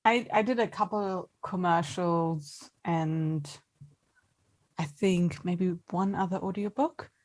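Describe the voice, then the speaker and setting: Woman with a slightly husky voice. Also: lowish voice, takes it slowly, a little mellow. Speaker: voice actress, podcast guest. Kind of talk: casual chat.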